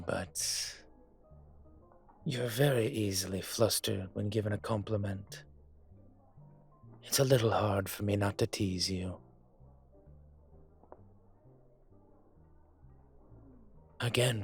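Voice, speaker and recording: A man acting a suave, older, gentlemanly dragon mafia boss in a romantic roleplay audio drama, courtly and teasing, with a husky voice. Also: lowish voice. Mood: teasing, smitten. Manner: unhurried, courtly, teasing, intimate. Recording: music behind the voice.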